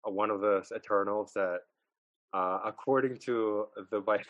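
A man's voice: fairly low pitch, moderate pace, a bit bright, no room sound, lo-fi sound. A man co-hosting a casual film podcast, rambling with fillers and laughing often. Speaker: podcast co-host.

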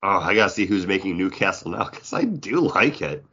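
A man's voice: low voice, animated, a little fast, bright, forceful tone, breathy edge, muffled recording. A man hosting a podcast in casual, joking chat. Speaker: podcast host.

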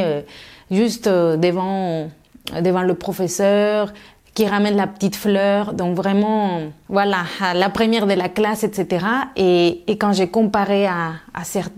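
A woman's voice: mid-range voice, steady tone, a little slow, darkish tone. A middle-aged woman from Mexico, answering interview questions thoughtfully with many fillers and restarts. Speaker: guest.

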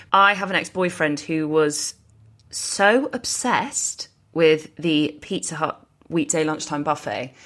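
British female podcast host, deep-voiced, chatty and informal delivery. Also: a bit bright. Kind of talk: casual conversational podcast chat.

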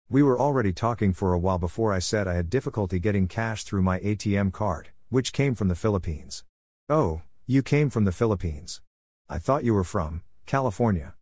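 A man reads a personal essay as scripted narration. His voice sits on the low side, and the sound is dry, with very little room in it. He works as the narrator of an opinion column. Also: a little mellow, no echo.

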